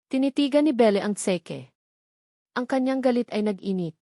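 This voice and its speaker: A woman's voice: fairly high pitch, expressive, moderate pace, mellow, no echo, clean studio sound. A woman narrator reading a scripted romantic drama in a storytelling style.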